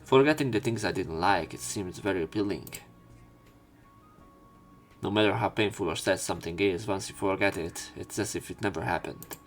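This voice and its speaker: A man reading visual novel narration aloud as a let's play commentator, with halting casual asides where he corrects himself. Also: low voice, faint music behind.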